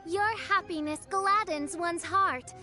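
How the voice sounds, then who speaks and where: A woman's voice: very high-pitched, slow, pushed and bright, soft background music, heavily acted. A woman voicing a grandiose teenage self-styled princess in an anime game, declaiming in pompous archaic phrases. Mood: lofty. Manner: pompous, archaic theatrical speech. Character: grandiose teenage girl playing a gothic princess.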